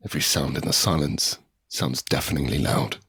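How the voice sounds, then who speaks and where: An actor playing a guilt-ridden survivor in an audio drama, speaking in halting, intense first person. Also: male voice, extremely low pitch, creaky, a bit bright, husky, full character voice. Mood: tense, hushed narration. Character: grief-stricken guilt-ridden male survivor, narrating his ordeal.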